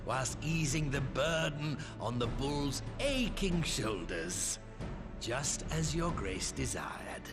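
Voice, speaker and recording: Shrewd merchant, swooping florid delivery. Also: male voice, mid-range voice, animated, slow pace. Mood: smug, eager. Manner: florid, formal, calculating. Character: shrewd, urbane wealthy merchant magnate, profit-hungry gourmand. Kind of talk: video game cutscene acting. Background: loud music.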